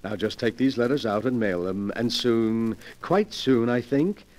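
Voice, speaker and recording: A man's voice: mid-range voice, lively intonation, a little slow, darkish tone, natural voice. A man plays a wealthy, scheming villain from a 1940s radio thriller. His delivery swings widely, theatrical and suave, with menace beneath every polite phrase. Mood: sly, scheming. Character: sinister wealthy male collector of murder relics, wheelchair-bound, scheming. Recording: 1940s radio drama recording.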